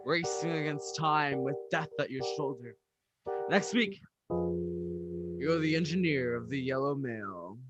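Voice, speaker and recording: A man's voice: medium pitch, animated, slow, long pauses. A man playing an emphatic, theatrical announcer in a 1940s radio style, urgent and grand. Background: piano music.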